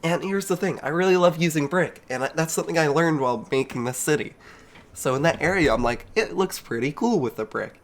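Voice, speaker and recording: A man's voice: fairly high pitch, a little fast. Young male streamer, nasal from a cold, casual rambling commentary, chuckles a lot. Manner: rambling, self-mocking, chuckles often. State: congested with a cold. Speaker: video game streamer.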